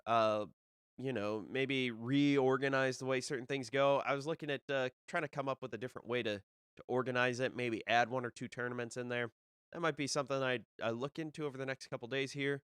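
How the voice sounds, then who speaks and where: A young man talks on his own as the host of a casual show, rambling and restarting his sentences. He sounds a bit nasal from a cold. His voice is smooth and resonant, with a dry, close sound and no room echo. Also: medium pitch, fairly even intonation, brisk, a bit bright. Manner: rambling, with many fillers and restarts. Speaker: disc golf podcast host. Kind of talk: casual solo live-stream talk.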